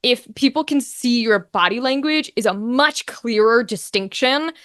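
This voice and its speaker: A woman's voice: on the high side, unhurried, fairly bright tone, no echo, clean studio sound. A woman speaking casually as an interview guest, talking in an emphatic, informal way as a voice actor and game master.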